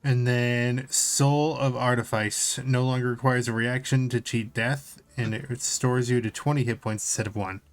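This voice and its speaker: A man's voice: mid-range voice, fairly even intonation, moderate pace, few pauses, soft background music. A man hosting a podcast, talking casually about tabletop games. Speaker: podcast host.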